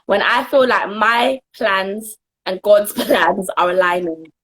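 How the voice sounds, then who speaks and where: Husky-voiced young woman, earnest and giggly. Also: mid-range voice, slow, a bit bright, low-quality recording. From Jamaica. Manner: earnest and giggly, trails off and restarts. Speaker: guest. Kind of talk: casual interview answers.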